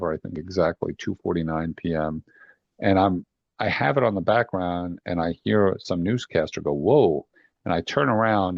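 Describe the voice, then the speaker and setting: This is a deep-voiced man with a Boston accent, recounting his career in an earnest, halting way full of "uh"s and restarts. The recording sounds dry and a little muffled, with no room around him. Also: gravelly, dry recording. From New York and Boston. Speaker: retired FBI assistant director, guest. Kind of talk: reflective interview storytelling.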